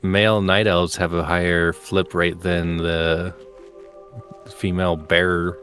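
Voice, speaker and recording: A man's voice: low-pitched, slow pace, faint music behind. A man chatting casually and jokingly with a co-streamer while playing a game. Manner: relaxed, joking, laughs often. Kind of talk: casual gameplay chat.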